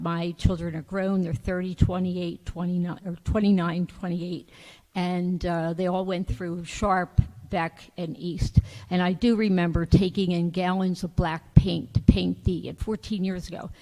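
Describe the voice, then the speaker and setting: A woman in her fifties speaks with a hoarse, dark, mellow voice, pausing and restarting as she addresses a school board. Her voice is lower than most women's and carries a long reverb from the meeting hall. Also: fairly even intonation. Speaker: public commenter. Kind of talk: public comment at a board meeting.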